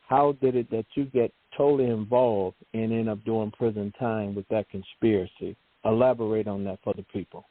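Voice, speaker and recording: A man hosting a talk show, energetic and casual in his phrasing. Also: fairly low pitch, moderate pace, hardly any pauses. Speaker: host. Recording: dry, tinny phone line.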